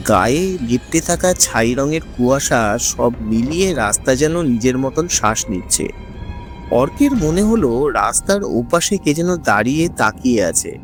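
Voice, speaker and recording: A man narrates a horror tale with heavy suspense, drawing out his phrases for effect. His pitch rises and falls in a very animated way. Faint music plays quietly behind him. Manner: suspenseful, drawn-out dramatic delivery. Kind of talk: scripted dramatic horror narration.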